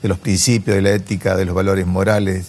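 A man's voice: sits low, little pitch movement, fairly quick, a little mellow, breathy edge. A man in his fifties with an Argentine accent giving hesitant, reflective answers in a studio interview on a lapel mic. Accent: Argentine Spanish. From Chacabuco, Argentina. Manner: hesitant, reflective, seeks agreement with tag questions. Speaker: interview guest, businessman. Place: television studio.

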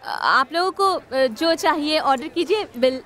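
A woman's voice: sits high, lively intonation, a little slow, fairly bright tone. A worried, cautious young woman. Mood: dry sarcastic. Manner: worried, pleading. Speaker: cautious café-owning friend. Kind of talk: web series comedy acting.

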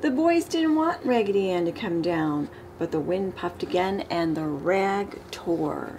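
A middle-aged woman reading a children's picture book aloud with expressive, emphasized storybook delivery. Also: fairly low pitch, a little slow. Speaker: storytime reader. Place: living room, indoors. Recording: clear background room noise.

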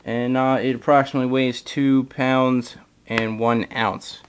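A man's voice: medium pitch, little pitch movement, measured pace, slight background noise. A man giving a casual product walkthrough as a gear reviewer, filler-heavy, recorded indoors on a camera mic. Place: indoors, camera over a carpeted floor.

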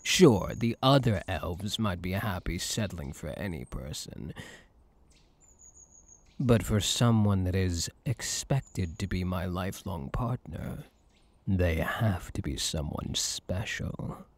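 Guy doing a smug possessive male elf hunter, asmr roleplay, teasing n seductive, really slow, voice moves up and down a lot. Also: low-pitched, animated, dry recording, exaggerated voice. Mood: confiding, possessive. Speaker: voice actor in audio roleplay. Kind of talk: intimate ASMR roleplay acting.

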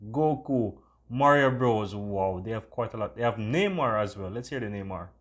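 Casual man hosting a software tutorial. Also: fairly low pitch, expressive, normal speed. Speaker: tutorial host.

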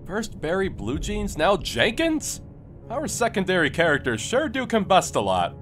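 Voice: mid-range voice, wildly swooping pitch, moderate pace. An actor playing a hearty, eager young male fighter in a comic fan dub, boisterous and goofy. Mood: bemused. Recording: loud music under the voice.

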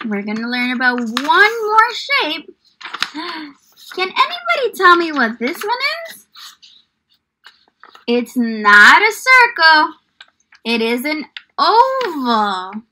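A woman's voice: high voice, sharp tone, slight background noise, rough recording. A young woman teaching small children in an encouraging, exaggerated child-directed way, full of questions. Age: young adult. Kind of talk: children's lesson and read-aloud. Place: small indoor room.

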